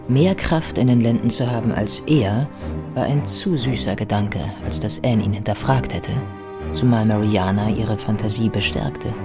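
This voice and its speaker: A woman narrating a radio play from a script in a measured, factual storytelling manner. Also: very deep voice, over loud music.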